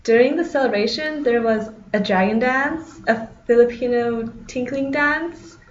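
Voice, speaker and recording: A woman's voice: fairly high pitch, measured pace, slight background noise. A young woman from the United States giving a prepared personal account as a student, reading her lines plainly. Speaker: student interviewee. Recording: roomy, slightly dull audio.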